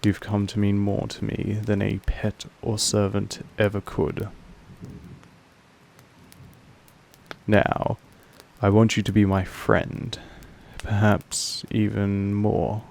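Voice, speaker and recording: A man playing a possessive vampire master in an intimate audio roleplay, speaking formally in a measured, softly menacing way with a gravelly creak. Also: fairly low pitch, monotone, slight background noise. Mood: tender, earnest. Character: possessive male vampire master, calm, commanding, quietly affectionate.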